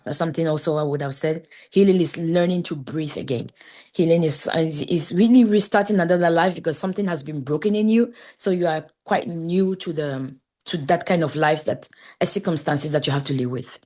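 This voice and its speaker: Woman from ghana, guest on a call, lowish voice, lots of ums and restarts. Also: warm tone. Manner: earnest, halting with many fillers and restarts. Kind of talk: conversational interview.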